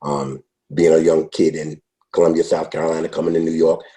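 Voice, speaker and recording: A man in his sixties, a podcast interview guest, telling his life story in an unhurried, reflective way with frequent restarts. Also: a bass voice, little pitch movement, dark tone. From United States. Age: 60s. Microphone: podcast microphone.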